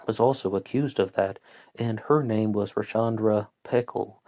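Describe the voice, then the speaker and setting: A man hosting a true crime podcast, talking through a case in an earnest, measured way with frequent pauses and fillers. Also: lowish voice, a little mellow. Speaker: podcast host.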